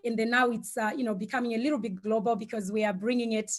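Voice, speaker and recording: A woman, a Shona speaker, giving an informal online presentation in a reflective, hesitant way, often pausing mid-thought. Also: on the high side, fairly even intonation. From Zimbabwe. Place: online video call.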